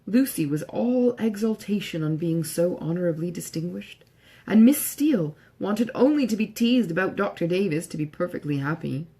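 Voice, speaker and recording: Husky woman reading a classic novel. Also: on the low side, dark tone. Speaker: audiobook reader. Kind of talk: scripted literary narration.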